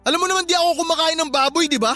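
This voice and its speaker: A sarcastic, bossy young boyfriend in a radio drama, played in a high, bright voice. He snaps and insults without pause. Faint music runs underneath. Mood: angry. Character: lazy, sharp-tongued young male live-in boyfriend.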